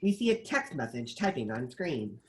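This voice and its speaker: A woman narrates a screenplay's action lines. Her voice is low, and she moves through a wide, lively range of pitch as she reads. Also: normal speed. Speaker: stage-direction narrator.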